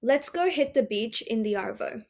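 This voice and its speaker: A young woman born in India who grew up in Australia, co-hosting a podcast in a relaxed, easy-laughing chat. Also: on the high side, moderate pace, gravelly, a little hiss or hum. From India, raised in Australia. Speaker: podcast co-host. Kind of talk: casual chat.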